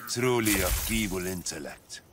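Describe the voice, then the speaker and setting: An actor playing an imperious, menacing godlike supervillain in a video game, pompous, commanding and disdainful. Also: male voice, low voice, moderate pace, very noisy, natural voice. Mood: haughty disdain. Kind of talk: video game character acting. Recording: loud game ambience and effects behind.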